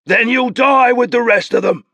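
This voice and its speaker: A man's voice: extremely high pitch, normal speed, gravelly, pushed and bright, dry recording, pitched above the performer's own voice, full character voice. A man voicing a ruthless enemy who bellows threats from afar, in an audiobook. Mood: threatening. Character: ruthless male enemy shouting threats across the water.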